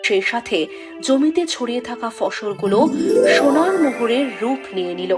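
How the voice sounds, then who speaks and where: A woman narrating a fairy tale with an expressive, storytelling delivery. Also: fairly high pitch, normal speed, over loud music.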